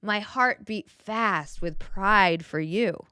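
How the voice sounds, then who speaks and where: Slow, smooth, expressive woman reading a storybook. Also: medium pitch, no room sound, clean studio sound. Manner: gentle, expressive, clearly enunciated storybook delivery. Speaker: children's picture book narrator. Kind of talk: read-aloud storytelling with questions to the child listener.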